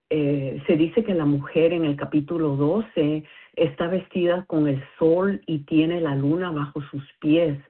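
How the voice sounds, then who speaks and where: A low-voiced woman with a husky edge calls in over a tinny phone line. She talks through a bible study as a non-native speaker, hesitant and careful, pausing on fillers. Her delivery is slow. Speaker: caller.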